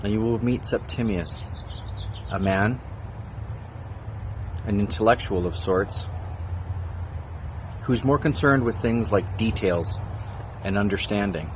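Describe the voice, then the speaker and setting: Casting: male presenter, fairly low voice, scripted welcome, noisy street-like recording. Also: slow pace, long pauses. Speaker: presenter introducing a production. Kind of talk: scripted introduction.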